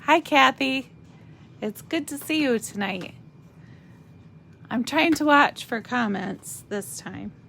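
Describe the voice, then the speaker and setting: Slow, gravelly woman demonstrating card stamping. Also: a little high, lively intonation, a bit bright, slight background noise. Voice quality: raspy. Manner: slow, chatty, thinks aloud while working. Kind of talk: live craft demonstration.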